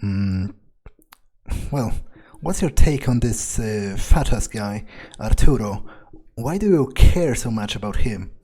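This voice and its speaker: A man's voice: fairly low pitch, animated, slow pace, warm tone, breathy edge, exaggerated voice. A man roleplaying a cynical, self-serving male vampire in a game playthrough, improvising in a hesitant, muttering, dismissive way. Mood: curious, guarded. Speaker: gamer roleplaying his character. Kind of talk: in-character game commentary, improvised.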